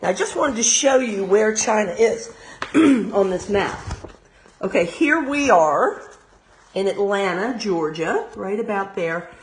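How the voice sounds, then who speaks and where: An American woman reading a storybook aloud as a teacher of young children, expressive and pausing to explain in simple asides. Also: medium pitch, slight background noise.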